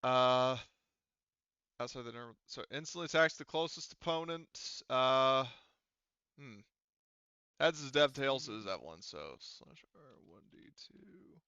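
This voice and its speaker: Male game master, forward bright tone, smooth and clean, casual rules talk, dry but slightly muffled recording. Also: mid-range voice, animated, measured pace, dry recording. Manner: thinks aloud through rules and dice rolls. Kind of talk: running a tabletop roleplaying game, casual.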